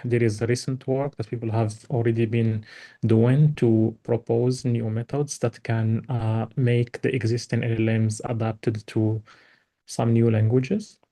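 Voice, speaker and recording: A man's voice: mid-range voice, level tone, unhurried, mellow. A man from Morocco whose first language is Tarifit, giving an invited academic talk on slides, with lots of "like" fillers and restarts. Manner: many fillers and restarts, heavy use of "like". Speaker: invited speaker.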